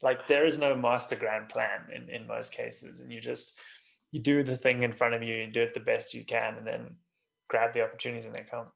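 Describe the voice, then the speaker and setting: A man hosting a podcast in a casual interview style, thinking aloud informally in halting, restarted phrases. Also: mid-range voice, level tone, creaky, fairly bright tone. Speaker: podcast host.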